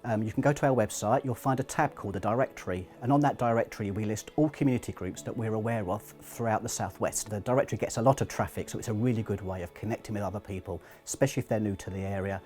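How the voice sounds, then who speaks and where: A man's voice: on the low side, fast, hardly any pauses, a little mellow. A man from a support organisation explaining a service in an informational interview style. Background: background music.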